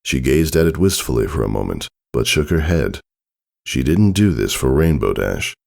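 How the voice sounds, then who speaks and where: A man's voice: rumbling low, very animated, normal speed, creaky, darkish tone. A man reading a story aloud as a scripted narration. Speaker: fan fiction reader.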